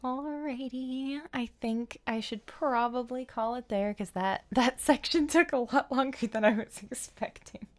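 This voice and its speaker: A woman hosting a game livestream, chatting casually in an animated, playful, rambling way. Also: high-pitched, unhurried, hardly any pauses. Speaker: game streamer and host. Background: background music.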